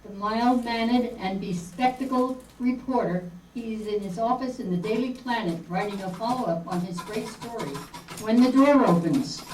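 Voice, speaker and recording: Female narrator for a radio play, fairly high voice, recorded at a distance. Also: moderate pace, darkish tone. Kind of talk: scripted radio drama narration. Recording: distant echoey room, faint noise.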